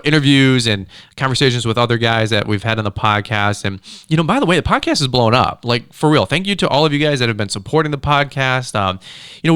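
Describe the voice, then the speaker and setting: Fast-talking, bright-voiced man hosting a podcast. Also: medium pitch, clear, resonant tone, dry recording, studio quality. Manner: rambling, informal, bro-ish slang. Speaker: podcast host. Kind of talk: casual upbeat podcast chat. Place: podcast studio, indoors. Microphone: close studio microphone.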